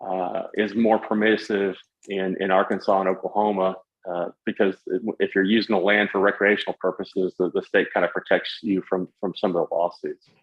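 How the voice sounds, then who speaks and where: Low-pitched man on dull lo-fi audio. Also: flat delivery, normal speed. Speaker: guest, paraglider pilot and retired air traffic controller. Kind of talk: conversational interview answers.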